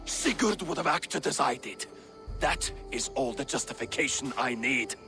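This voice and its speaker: An actor playing a hot-headed, bloodthirsty Viking warrior in a video game, aggressive, scornful and full of bluster. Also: male voice, mid-range voice, expressive, normal speed, music in the background, lightly acted. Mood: defiant. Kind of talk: video game dramatic acting.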